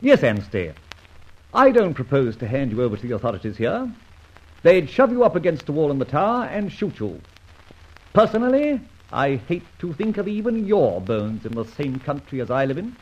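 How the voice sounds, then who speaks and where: An actor playing a suave, vengeful gentleman adventurer and ex-officer, speaking with theatrical, mocking irony in an old radio drama. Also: fairly high pitch, very animated, normal speed. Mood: triumphant. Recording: muffled old radio recording.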